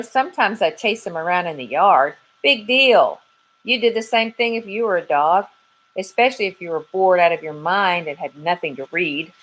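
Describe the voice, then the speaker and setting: A woman's voice: medium pitch, a bit bright, rough recording. A woman voicing a bored, wisecracking male yard dog who tells his story in a wry, offhand way.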